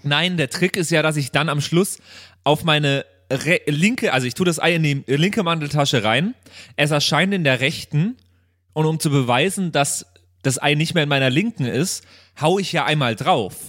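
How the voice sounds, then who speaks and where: A man's voice: fairly high pitch, moderate pace, fairly bright tone, no room sound, studio quality. A man playing an improvised tabletop game, chatting casually and explaining things in rambling detail. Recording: music under the voice. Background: music.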